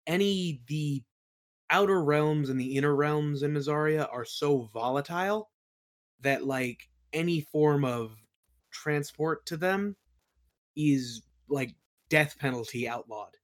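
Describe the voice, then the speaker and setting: A man chatting informally as an interview guest, explaining his creative work in a halting, think-aloud way. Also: a little high. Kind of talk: casual chat, explaining own creative work.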